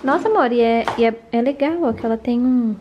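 A woman's voice: on the high side, fairly quick, warm tone, dull audio. A young Brazilian woman in her twenties chatting casually to a handheld camera at home. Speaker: vlogger. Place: at home, covered garage and kitchen. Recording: faint background noise.

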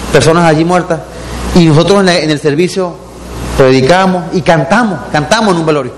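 A middle-aged man preaching a sermon as pastor, animated, with many rhetorical questions to the congregation. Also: on the high side, a little fast. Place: church hall. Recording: reverberant hall with background noise.

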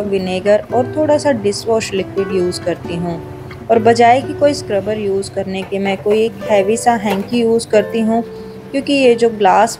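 A woman with an Indian accent giving a calm, step-by-step voice-over for a home cleaning video. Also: mid-range voice. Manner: explains each step in a steady how-to tone. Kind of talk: calm scripted voice-over narration. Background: background music.

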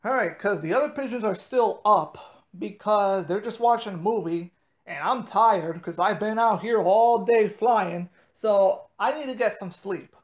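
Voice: mid-range voice, measured pace. A person improvising a phone skit as a tired pigeon who wants to sleep, complaining and insisting. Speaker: prank call participant playing a pigeon.

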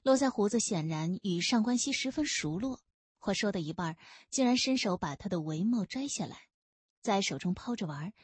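Animated, husky female audiobook narrator. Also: mid-range voice, normal speed, dry recording. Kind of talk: expressive scripted narration of a historical novel.

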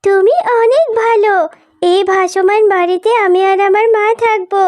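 Very high-pitched little fox girl. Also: fairly even intonation, moderate pace, a little mellow, no room sound. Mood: grateful, eager. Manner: childlike, earnest. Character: little girl fox, innocent, anxious and grateful. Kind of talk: children's cartoon dub. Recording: music behind the voice.

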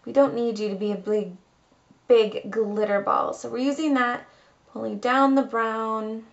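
Chatty girl in her 20s doing a makeup tutorial, highish voice, kinda dull camera audio at home. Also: steady tone, a little slow, vocal fry. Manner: chatty, informal. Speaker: beauty vlogger. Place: small room at home.

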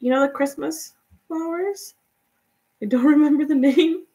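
A young woman from Guatemala whose first language is Spanish, chatting relaxed and giving step-by-step tips in a live painting tutorial. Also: high voice, unhurried. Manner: relaxed, chatty, laughs often, answers viewers' comments. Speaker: painting instructor.

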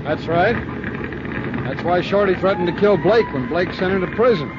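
An actor playing a guarded city attorney who speaks wryly and defensively in a 1950s radio drama. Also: male voice, high voice, normal speed, natural voice. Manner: wry, defensive. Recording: dull vintage radio recording with hiss.

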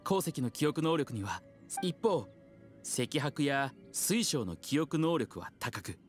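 An earnest young male worker, polite and soft-spoken, with lively intonation in a youthful voice. It is anime game dialogue with music clearly behind it. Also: medium pitch, slow pace. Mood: earnest, modest. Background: background music.

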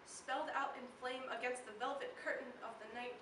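A young woman performing slam poetry in a dramatic, deliberate way, her delivery moving between hushed and intense. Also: sits high, steady tone. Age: teenager or young adult. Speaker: slam poet. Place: live poetry slam in a room. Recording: echoey room, distant mic, faint noise.

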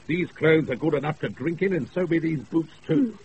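An actor playing a blustering, boozy, roguish knight in a comic classical radio play. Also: male voice, fairly high pitch, expressive, normal speed. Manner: blustering, exclamatory. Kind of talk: comic Shakespearean radio acting. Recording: hissy off-air radio recording.

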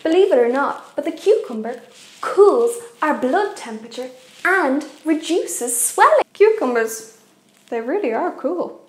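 A young woman hosting a kids' cooking show, presenting facts in an animated, deliberate way. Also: high-pitched. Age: young adult. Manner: animated, expressive, deliberate for kids. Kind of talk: children's educational presenting. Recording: echoey room.

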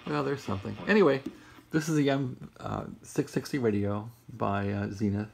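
An American man, middle-aged or older, giving a casual, rambling, unscripted show-and-tell in a husky, gravelly, musing voice. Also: mid-range voice, normal speed, noisy background. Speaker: vlogger showing a vintage radio. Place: bedroom in a house. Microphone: handheld camera, close behind it.

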